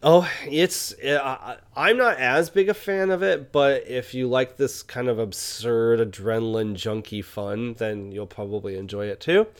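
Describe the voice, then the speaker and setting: A man co-hosting a film podcast in casual conversation, often halting mid-thought as he talks. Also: mid-range voice. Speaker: podcast co-host and film reviewer.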